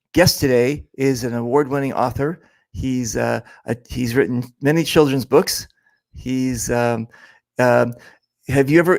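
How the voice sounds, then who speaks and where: A man's voice: medium pitch, creaky, no echo. A man hosting a casual interview show, teasing his guest and rambling with lots of fillers. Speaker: podcast host. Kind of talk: casual joking interview chat.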